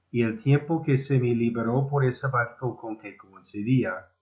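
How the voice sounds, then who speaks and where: Non-native man reading through a phone-like line. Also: mid-range voice. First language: not Spanish (Spanish is his second language). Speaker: amateur inventor presenting his own proposal. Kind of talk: scripted formal lecture, read aloud. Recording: muffled, phone-like narrow band.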